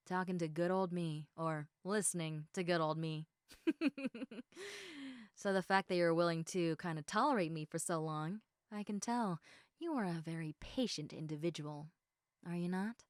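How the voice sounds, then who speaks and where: A woman's voice: lowish voice, dry recording, clean studio sound, lightly acted. A woman playing a bubbly, talkative, eager young woman in a one-sided roleplay, chatting emphatically and playfully straight to the listener. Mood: affectionate, earnest. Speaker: audio roleplay performer.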